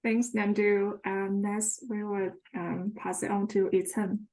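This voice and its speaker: A woman's voice: medium pitch, little pitch movement, measured pace, a little mellow, low-quality recording. Welcoming woman moderating a panel. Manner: welcoming, hands the turn to each speaker.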